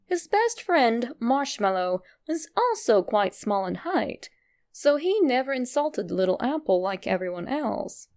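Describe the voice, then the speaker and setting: Higher-pitched, creaky-voiced woman narrator. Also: very animated, measured pace, a little mellow. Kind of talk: scripted narration of a fan theory.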